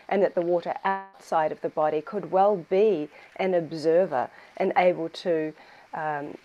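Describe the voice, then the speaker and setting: A woman with a New Zealand accent speaks on a video call in a slightly low voice. She explains her work fluently and with clear enthusiasm. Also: mellow, low-quality recording. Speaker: guest researcher. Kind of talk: conversational explanation.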